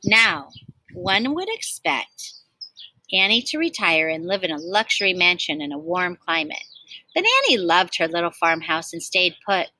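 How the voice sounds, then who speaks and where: A woman's voice: lowish voice, sing-song, a little slow, pushed and bright, slight background noise, rough recording. A woman reading a children's storybook aloud expressively, like a teacher, with emphatic stresses and chatty asides.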